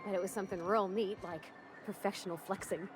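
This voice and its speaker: A woman's voice: medium pitch, fairly even intonation, brisk, music in the background, natural voice. An actress playing a chatty, eager young woman with a folksy, bubbly way of talking.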